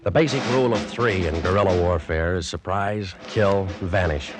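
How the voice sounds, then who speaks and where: A man's voice: deep voice, normal speed, over loud music, natural voice. A man acting a tough, weary American army lieutenant in a 1940s radio drama, clipped, commanding and hard-boiled. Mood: wry, measured. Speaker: lead actor and first-person narrator. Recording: noisy vintage radio recording.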